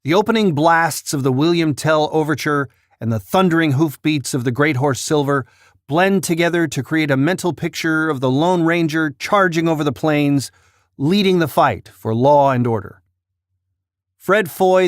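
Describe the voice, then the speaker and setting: A man with a slightly high voice hosts a countdown. He reads scripted narration in a very dry recording. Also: no room sound. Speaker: countdown host.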